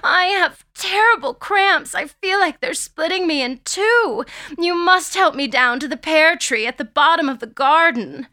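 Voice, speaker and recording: A woman's voice: extremely high pitch, expressive, measured pace, pushed and bright, voice pushed up, full character voice. A woman voicing a scheming wife in an audiobook story, theatrical and pleading, feigning distress. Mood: pained pleading.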